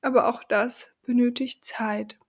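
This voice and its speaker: A woman hosting a podcast, talking slowly and thoughtfully to the listener, her voice deepened by a cold. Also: level tone, breathy edge. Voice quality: lower than usual from a cold. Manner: slow, thoughtful, addresses the listener directly. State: has a cold. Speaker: podcast host. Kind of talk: reflective podcast monologue.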